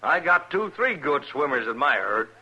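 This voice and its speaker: An actor playing an aggrieved, hot-tempered, slow-witted cattle drover with a rural American Western drawl, roundabout and folksy, in 1950s radio style. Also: male voice, fairly high pitch, big pitch swings, pushed and bright, husky, highs cut off, full character voice. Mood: indignant. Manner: roundabout, folksy, self-righteous. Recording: noisy old radio recording.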